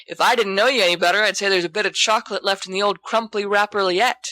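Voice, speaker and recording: A woman's voice: mid-range voice, fairly even intonation, fast talker, sharp tone, no room sound, natural voice. A woman voicing a brash, teasing teenage boy in a theatrical, mocking way, as in an audiobook. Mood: gleeful mockery. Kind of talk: expressive audiobook character dialogue.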